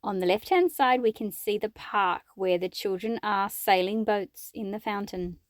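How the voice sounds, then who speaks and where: A woman's voice: medium pitch, normal speed, dry recording, studio quality. A woman reading a children's picture book aloud expressively, with explanatory asides to young listeners.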